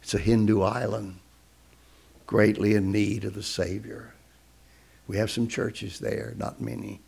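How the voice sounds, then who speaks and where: A man's voice: lowish voice, little pitch movement, measured pace, vocal fry, darkish tone, breathy edge. A man who is a guest speaker, telling a story in a sermon and acting out the voices of the people in it.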